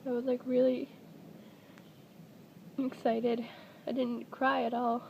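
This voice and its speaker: A woman's voice: a little high, level tone, takes it slowly, gravelly, highs cut off, a little hiss or hum. A young adult woman talking casually and hesitantly in a personal confessional vlog, recorded at home on a built-in device mic.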